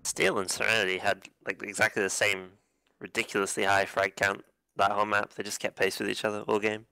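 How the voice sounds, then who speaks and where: A man's voice: on the low side, level tone, brisk, creaky, pushed and bright, smooth, no room sound, low-quality recording. A man adding offhand, dry comments to a live game cast.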